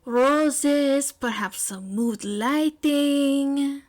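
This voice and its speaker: A woman's voice: sits high, pitched above the performer's own voice. A woman voicing a flamboyant teenage boy, a self-styled love expert, theatrical and grandiose. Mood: grandly instructing. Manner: theatrical, grandiose, drawn-out flourishes.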